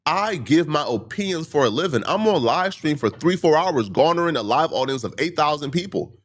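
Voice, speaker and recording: A fairly high-voiced man who stutters, his pitch leaping up and down as he presses his case. He speaks as a livestreamer answering his critics, hammering the same points and firing off rhetorical questions. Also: lively intonation, a little fast. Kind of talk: heated monologue answering critics.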